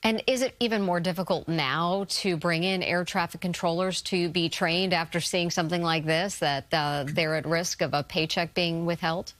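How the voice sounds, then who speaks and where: Low-voiced American female news anchor. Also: steady tone, moderate pace, hardly any pauses, fairly bright tone. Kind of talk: broadcast news interviewing.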